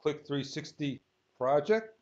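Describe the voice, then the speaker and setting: A man giving an unscripted software tutorial, thinking aloud in short, halting phrases as he works. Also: a little high, expressive. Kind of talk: unscripted screen-recorded tutorial. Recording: dull lo-fi sound.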